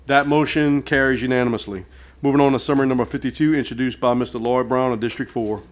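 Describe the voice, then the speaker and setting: Man, meeting chair, rapid delivery, average pitch, formal parliamentary wording. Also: fairly even intonation. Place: public meeting room.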